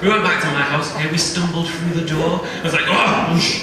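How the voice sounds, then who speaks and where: A man's voice: a little high, steady tone, quick pace, a crowd in the background. A young man with a Liverpool accent doing a chatty, self-deprecating stand-up set, tagging lines with "right?". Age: young adult. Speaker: stand-up comedian. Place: comedy venue with a live audience. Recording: echoey room, distant mic, audience laughter.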